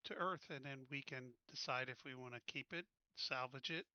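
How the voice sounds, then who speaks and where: Bright-voiced man, muffled dry audio. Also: medium pitch, moderate pace, dry recording. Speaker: tabletop game player. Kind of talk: casual game planning talk.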